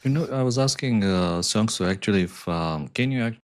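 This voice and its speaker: A man's voice: on the low side, expressive, normal speed. A man co-hosting a podcast, telling anecdotes in a hesitant way. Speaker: podcast co-host. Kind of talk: casual chat.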